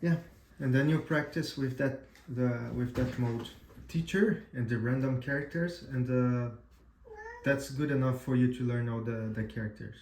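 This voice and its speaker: Halting man teaching, mellow, echoey room. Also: medium pitch, slight background noise. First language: Portuguese. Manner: halting, frequent "uh" and restarts, checks in with "right?". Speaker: amateur radio instructor. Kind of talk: casual screen-demo tutorial.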